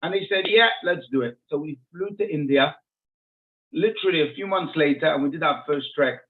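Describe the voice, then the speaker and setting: Man on a muffled phone-like line, higher-pitched. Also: brisk. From Israel, born and raised in Jerusalem. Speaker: rabbi, interview guest. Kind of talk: conversational storytelling in an interview. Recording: muffled phone-like narrowband audio.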